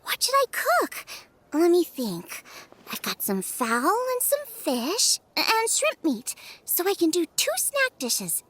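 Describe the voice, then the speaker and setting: A woman's voice: extremely high pitch, huge pitch swings, slow, a bit bright, heavily acted. A woman voicing a cheerful, eager teenage girl chef in a video game, bubbly and exclamatory. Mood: excited, busy. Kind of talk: English video game voice acting.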